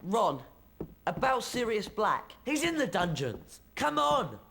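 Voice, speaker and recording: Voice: medium pitch, very animated, takes it slowly, gravelly, fairly bright tone, a little hiss or hum, exaggerated voice. An actor playing an earnest, anxious schoolchild wizard in an adventure game, speaking in urgent exclamations.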